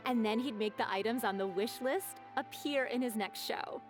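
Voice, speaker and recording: An actress playing a gossipy, expressive townswoman in a video game, conversational and a little dramatic. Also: a little high, moderate pace, loud music behind the voice, close to an everyday voice. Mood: informative.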